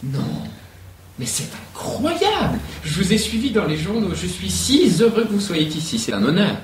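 An actor playing an excitable, effusive French sculptor in an amateur radio play, exclaiming and gushing with a French accent. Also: medium pitch, normal speed, roomy sound, slight background noise, natural voice. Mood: delighted.

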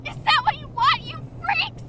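Woman acting high-pitched, emotional young woman. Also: lively intonation, moderate pace, over a noisy crowd, very noisy. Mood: pleading. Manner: dramatic, emotional delivery. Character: young woman in withdrawal, plucky but desperate when locked up. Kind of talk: visual novel character acting.

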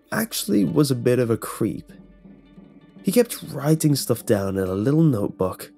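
Man voicing a wry, gossipy co-worker, bright voice. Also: mid-range voice, vocal fry, slightly rough. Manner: casual, conversational, rambling recollection. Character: Jerry, older male co-worker, wry, gossipy storyteller. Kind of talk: horror story dialogue.

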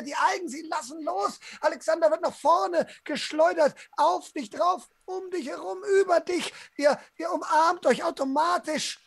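Male tabletop storyteller, young adult, dry close sound, gravelly voice, dramatic and drawn-out. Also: very high-pitched, no echo. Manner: theatrical, drawn-out, intense delivery. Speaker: game master, storyteller. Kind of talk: dramatic tabletop role-play narration. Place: small room at home, online video call.

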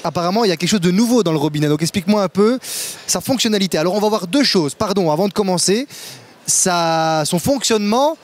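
A man in his thirties hosting a casual product demo at a trade fair, lively and questioning, with some stammers. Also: high voice, quick pace. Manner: lively, enthusiastic, asks questions, stammers at times. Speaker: kitchen store host. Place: large indoor trade fair hall. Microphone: handheld mic.

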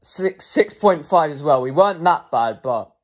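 A man's voice: a little high, animated, normal speed. A young man giving casual post-match player ratings as a football fan, hesitant with frequent ums and self-corrections.

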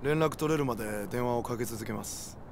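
A man's voice: mid-range voice, moderate pace, noisy background, natural voice. A man voicing a matter-of-fact, wary yakuza member in a Japanese video game, speaking in a street-wise way. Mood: deferential, concerned. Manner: blunt, explanatory, rough casual speech.

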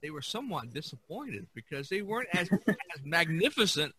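A man speaking as a podcast co-host in a casual art history discussion, haltingly searching for words. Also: on the high side, sing-song, bright, forceful tone, husky.

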